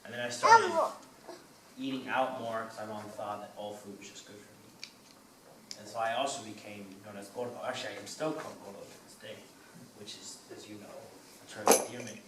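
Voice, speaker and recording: Animated man asking from the floor. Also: fairly low pitch, brisk. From Eugene, Oregon. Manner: rambling, animated, repeats himself. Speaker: audience member asking a question. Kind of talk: casual question from the floor. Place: conference hall. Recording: echoey hall, distant mic, loud noise.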